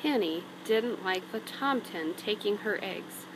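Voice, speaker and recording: A woman's voice: medium pitch, animated, a little slow, noisy background. A woman reading a children's story aloud in a narrating style, as a storybook reader.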